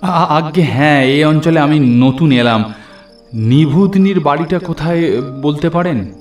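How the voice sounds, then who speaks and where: A timid, flustered traveller who stammers through a horror cartoon, played by a man. The voice is raised high and echoes a little away from the mic, over soft music. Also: measured pace, recorded from a distance, natural voice. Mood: hesitant, flustered. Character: timid, ailing, flustered male traveller from the city. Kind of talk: Bengali horror cartoon voice acting.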